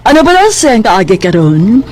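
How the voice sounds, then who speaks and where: A woman's voice: mid-range voice, very animated, moderate pace, loud music behind the voice. A woman with a Cebuano accent giving scripted narration as a radio drama announcer.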